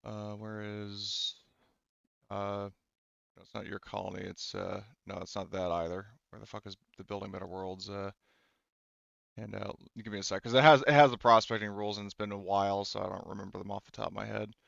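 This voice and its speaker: A man running a tabletop role-playing game as game master, casual and halting as he thinks aloud. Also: on the low side, flat delivery, creaky, fairly bright tone, dry recording. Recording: dull, lo-fi sound.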